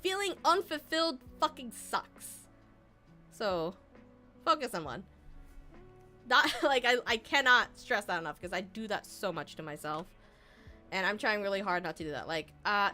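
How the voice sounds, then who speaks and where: A woman's voice: on the high side, pushed and bright. A young adult woman streamer, Vietnamese by first language, giving earnest, rambling advice to her chat with laughs and restarts.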